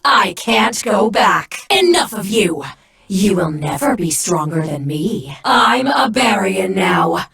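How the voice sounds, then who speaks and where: A woman playing a proud, icy young warrior in an anime game, with theatrical, imperious and deliberate delivery. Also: mid-range voice, big pitch swings, gravelly, a bit bright, full character voice. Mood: cold, haughty. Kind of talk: English anime game voice-over battle lines.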